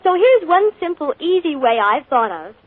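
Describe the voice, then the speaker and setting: A woman's voice: very high voice, big pitch swings, normal speed, dry recording. A woman reading a scripted radio commercial, chirpy and persuasive, on a tinny old broadcast. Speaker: commercial spokeswoman.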